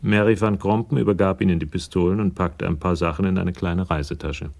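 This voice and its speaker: Crime drama narrator: bass-leaning, gravelly, detached and sober delivery. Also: male voice, fairly even intonation, brisk, darkish tone. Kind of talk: scripted radio drama narration.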